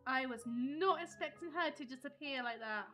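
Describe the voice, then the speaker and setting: A woman with a high voice streams a video game live. She chats casually with viewers and reacts to the story, with game music clearly audible behind her. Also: moderate pace, pushed and bright.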